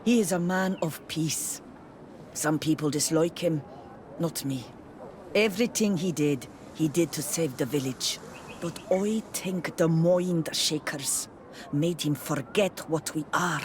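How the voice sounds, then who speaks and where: Worried village mother, game dialogue, guarded, earnest, very slow deliberate delivery, slight background noise. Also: low-pitched, darkish tone. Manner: deliberate, plain-spoken. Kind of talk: video game dialogue acting.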